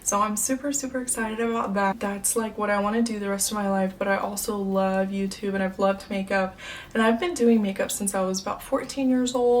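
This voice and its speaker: A woman in her twenties, born in the United States, chatting upbeat to camera as a beauty vlogger, with lots of fillers. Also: medium pitch. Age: 20s. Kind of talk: casual chat to camera. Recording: slightly distant mic, faint room noise.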